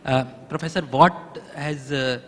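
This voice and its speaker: A man moderating a panel discussion, hesitant and filling pauses while framing his question. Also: on the high side, steady tone, fairly bright tone. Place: large conference hall. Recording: reverberant hall with faint background noise.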